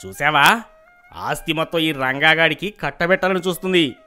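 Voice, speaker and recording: A man's voice: on the high side, moderate pace, bright, forceful tone, smooth, soft background music. A man playing a greedy, jealous, shifty village schemer in a folk tale. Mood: sneering, scheming. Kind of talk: acted folk tale dialogue.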